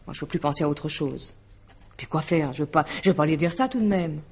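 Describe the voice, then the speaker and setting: An actress playing a weary, alarmed astrologer and bitter divorcée, hesitant and sighing, in 1950s French radio drama style. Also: female voice, low voice, brisk, darkish tone. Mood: exasperated, alarmed.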